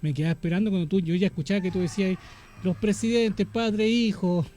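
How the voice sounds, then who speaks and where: A man with a Chilean accent hosting a casual radio chat, chatty and quick with interjections. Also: high-pitched, fairly even intonation, warm tone. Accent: Chilean Spanish. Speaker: radio host.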